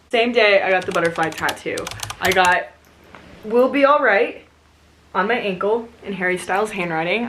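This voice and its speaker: A woman's voice: low voice, pushed and bright. A young woman in her twenties talking casually to camera, chatty and candid, with easy laughs and casual swearing. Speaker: vlogger. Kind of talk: casual talking-head chat.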